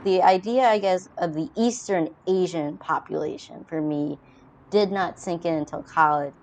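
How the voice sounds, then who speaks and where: A man's voice: sits high, moderate pace, darkish tone, a little hiss or hum. A young man from China, adopted young, speaking reflectively in a documentary interview, often saying "you know".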